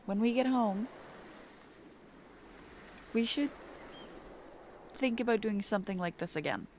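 A young woman doing a soft, playful, teasing ASMR girlfriend roleplay. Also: a little high, animated, unhurried, a little hiss or hum.

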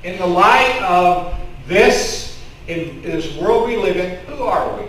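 A middle-aged man with a Kentucky accent preaches a relaxed, story-driven sermon at an unhurried pace. His voice sits a little high. The sound is caught from a distance in a very echoey sanctuary. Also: slight background noise. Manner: conversational storytelling preacher, gestures with open hands. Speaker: pastor. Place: church sanctuary in front of a curtain. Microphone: stand mic with headset mic, filmed from the congregation.

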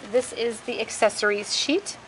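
Woman calmly listing products in a warehouse. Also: a little high, normal speed, a little hiss or hum, rough recording. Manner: plainly lists product names one by one. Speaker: store host showing products. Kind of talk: casual product walkthrough. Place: large warehouse with wire shelving. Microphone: handheld camera, speaker behind it.

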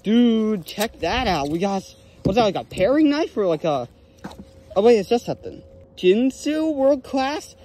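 Excitable young man outdoors on a dock. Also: high voice, unhurried, slight background noise. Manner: excitable, exclaims over finds. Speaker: magnet fishing vlogger. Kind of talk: excited casual commentary. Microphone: handheld phone or body camera.

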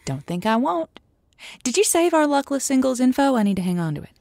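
A woman's voice: sits high, big pitch swings, a little fast, gravelly, darkish tone, no echo, studio quality, full character voice. An actress playing an earnest, folksy woman who hosts a radio dating-advice show, chatty and playful. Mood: excited, bantering. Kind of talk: audio drama acting as late-night radio host.

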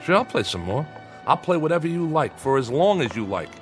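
A self-deprecating, streetwise jazz musician in a radio play, halting and eager. His mid-pitched voice swings widely, with music clearly behind it. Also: sing-song. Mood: eager, smitten. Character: self-deprecating eager jazz trumpeter, smitten New York man. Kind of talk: radio drama acting.

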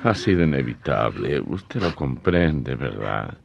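Solemn radio-drama gentleman, very deep, gravelly, consoling, measured. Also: big pitch swings, highs cut off, full character voice. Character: grave, slow man consoling a grieving woman. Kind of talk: old radio drama acting.

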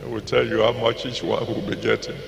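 An older man with a Nigerian accent preaching a sermon into a handheld mic in a large packed auditorium, slowly repeating scripture references and decreeing blessings. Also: fairly low pitch, little pitch movement, vocal fry, a little hiss or hum. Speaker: pastor. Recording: echoey hall with long reverb.

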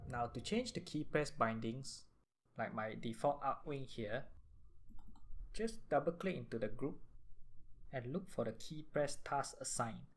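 A man with a mid-pitched voice narrates a step-by-step software tutorial. He speaks as an instructor, marking out each stage for the listener, close on a desktop microphone. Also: background noise. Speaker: tutorial host.

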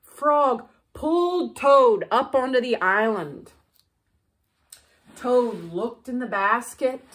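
Female storyteller: high voice, very unhurried, expressive children's bedtime reading. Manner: deliberate, expressive, with dramatic pauses. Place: living room with brick fireplace, indoors.

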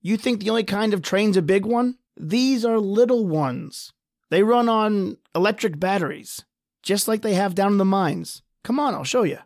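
Brief: a curt, bossy basement engineer, radio drama, dry close read. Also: male voice, sits high, lively intonation, normal speed, a little mellow, no room sound. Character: gruff impatient male building engineer, bossy. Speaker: host and actor. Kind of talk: old-time radio drama acting.

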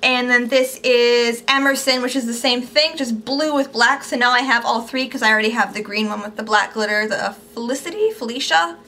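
A young woman chatting casually and gushing over her purchases in a haul video, her voice a little squeaky from overuse. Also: fairly quick, hardly any pauses, bright, forceful tone. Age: young adult. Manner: chatty, upbeat, gushing about products. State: losing her voice, slightly squeaky. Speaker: beauty vlogger. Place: small indoor room at home.

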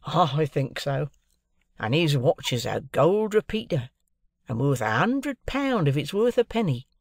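A woman's voice: low-pitched, big pitch swings, measured pace, no echo, studio quality, full character voice. A woman voicing a proud, shrewd, eccentric male clerk in an audiobook, with emphatic, confiding, colloquial talk. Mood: impressed, confiding. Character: chirpy, proud middle-aged London clerk, man, shrewd and eccentric.

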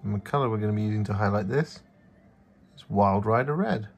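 A man's voice: on the low side, a little fast, darkish tone, breathy edge. A man giving an instructional voice-over as the host of a painting tutorial. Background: background music.

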